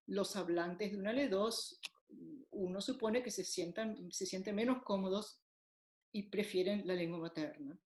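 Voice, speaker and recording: A woman lecturer lays out her points one by one in a measured, explanatory way, now and then pausing on a filler. Her voice sits at an ordinary middle pitch. The room around her gives the sound a noticeable echo. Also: darkish tone. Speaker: linguistics lecturer. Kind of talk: recorded academic lecture. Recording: echoey room.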